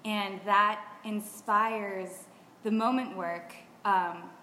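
Slow-talking American woman in an echoey room. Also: mid-range voice, steady tone, bright, forceful tone. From Seattle, United States. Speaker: theater artist, interview guest. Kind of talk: casual explanation. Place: artist's studio. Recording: echoey reverberant room, faint noise.

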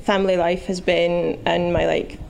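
A woman's voice: on the low side, flat delivery, normal speed, gravelly, darkish tone, low-quality recording. A woman from Estonia, a government advisor, talking fluently and candidly on a panel. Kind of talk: panel conversation.

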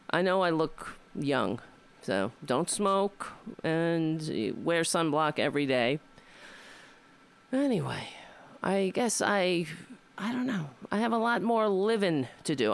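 Rambling wry woman in her fifties. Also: fairly low pitch. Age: 50s. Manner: rambling, wry, swears freely. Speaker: comedian and show host. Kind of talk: casual monologue.

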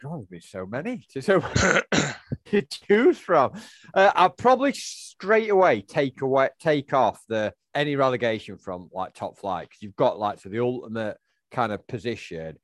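A man's voice: mid-range voice, sing-song, a little slow, no room sound. A middle-aged man, co-hosting a casual chat and rambling through answers with lots of fillers and restarts. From England. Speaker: podcast co-host.

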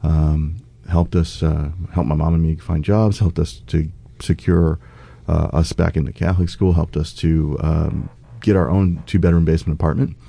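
A man's voice: a bass voice, fairly even intonation, normal speed, vocal fry, warm tone, husky. A middle-aged man from the United States, a political candidate speaking earnestly in a conversational interview, with many fillers and restarts.

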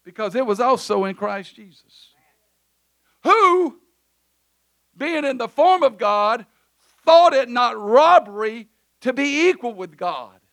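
Guy preaching in church, high voice, real bright, gets loud then goes quiet. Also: sing-song, slow, pauses often. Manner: loud, preaching, swings from shouting to quiet asides. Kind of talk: sermon in church service. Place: church sanctuary.

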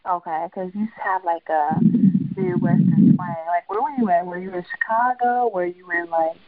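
Gravelly-voiced playful interviewer. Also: mid-range voice, expressive, moderate pace, phone-quality sound. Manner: playful, teasing questions, laughs a lot. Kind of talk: casual interview chat.

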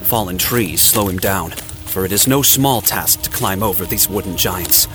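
A man narrating a scripted audio drama in a dramatic storytelling manner with deliberate phrasing. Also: low voice. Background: music and sound effects.

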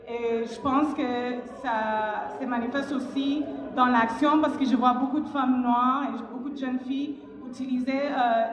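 A woman speaking as a panelist, giving reflective, spontaneous answers and often searching for words. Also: sits high. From Montreal resident of Afro-Cuban origin. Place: public panel in a hall, through a passed microphone. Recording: echoey reverberant hall, dull sound.

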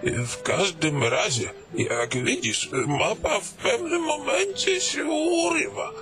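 A fussy old mapmaker from a fantasy radio play, acted by a man, chatters on in a fairly high voice. He speaks very slowly, hesitating, stammering and muttering to himself, with music clearly playing underneath. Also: big pitch swings, exaggerated voice. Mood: chatty, explaining. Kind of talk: fantasy audio drama acting.

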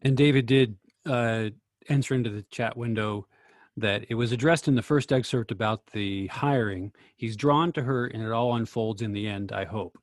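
A man's voice: medium pitch, moderate pace, dry recording, studio quality. A man moderating a group discussion, relaying written comments to the others. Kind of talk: relaying chat messages to a group.

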